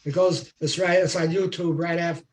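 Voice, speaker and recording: A man hosting an online song circle, chatting casually and haltingly as he organizes people over a computer mic. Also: a little high, steady tone. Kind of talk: casual chat on a group video call. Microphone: computer mic on a video call.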